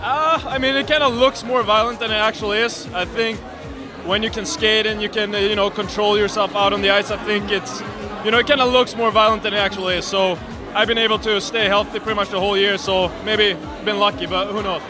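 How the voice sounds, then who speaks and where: A man's voice: high-pitched, fairly even intonation, a little fast. A young man from Sweden, a hockey player, answering questions in a laid-back way. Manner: laid-back, hedging with "you know". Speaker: ice hockey player guest. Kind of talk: casual interview answers. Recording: loud event with music and crowd. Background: music and crowd chatter.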